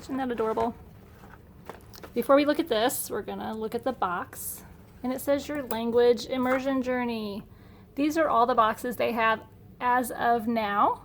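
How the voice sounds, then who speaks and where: Female homeschool vlogger, middle-aged, slightly high voice, casual and upbeat; home recording with faint noise. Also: gravelly. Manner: chatty, upbeat, enthusiastic. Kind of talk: casual unboxing narration. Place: indoor room at home.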